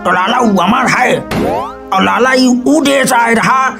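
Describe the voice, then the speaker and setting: Creaky, high-pitched old village uncle. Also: male voice, slow pace, over music, full character voice. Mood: preachy scorn. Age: older. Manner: preachy, crude, scolding. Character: cranky old village uncle, scornful of modern love. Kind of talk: comic cartoon dubbing.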